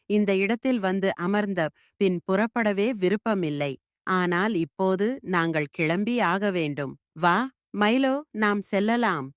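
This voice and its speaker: Woman voicing a gentle young girl, slow and clear. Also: mid-range voice, dry recording, studio quality, close to an everyday voice. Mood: earnest. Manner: gentle, earnest. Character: kind curious young village girl.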